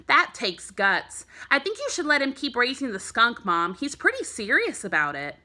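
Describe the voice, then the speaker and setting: A woman's voice: on the high side, wildly swooping pitch, moderate pace, pushed and bright, exaggerated voice. A woman reading a children's storybook as a girl, a blunt but supportive older sister. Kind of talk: children's storybook read-aloud.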